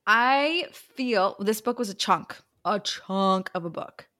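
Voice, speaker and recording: A woman's voice: mid-range voice, expressive, slow pace, sharp tone. A woman co-hosting a book recap podcast in casual, playful, informal language.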